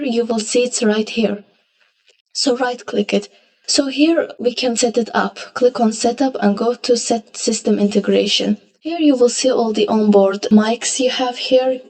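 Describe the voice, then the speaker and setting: Female tutorial presenter, somewhat high-pitched, casual step-by-step software narration. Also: rough recording.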